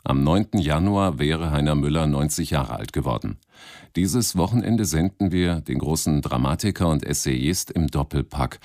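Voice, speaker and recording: A man's voice: a bass voice, darkish tone, no echo. A man reading a scripted introduction as a radio announcer, close to a studio microphone.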